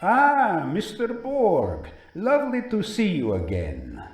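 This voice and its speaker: An actor playing a captive man in an audio drama, speaking with drawn-out, mocking courtesy. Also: high voice, wildly swooping pitch, exaggerated voice. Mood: mock politeness. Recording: echoey room.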